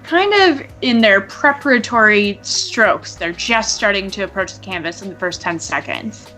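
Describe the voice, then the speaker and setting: A woman's voice: mid-range voice, animated, normal speed, pushed and bright, faint music behind. A woman co-hosting an online live painting contest, chatting in an upbeat way with many fillers. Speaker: co-host and commentator.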